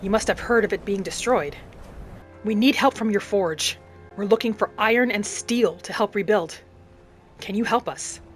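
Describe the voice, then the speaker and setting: An earnest young battle mage, bent on vengeance, thinks aloud in a fantasy game roleplay, played by a woman. The delivery is dramatic and deliberate, at a medium pitch, with faint music underneath. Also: lightly acted. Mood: earnest, pleading. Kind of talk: first-person fantasy roleplay narration over gameplay.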